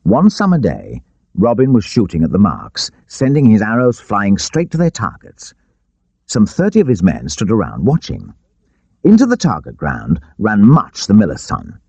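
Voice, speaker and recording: A man narrating an adventure audiobook in an expressive, theatrical storytelling style. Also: on the low side, moderate pace, gravelly, breathy edge, no room sound. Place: dry studio. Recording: dull, lo-fi tape audiobook.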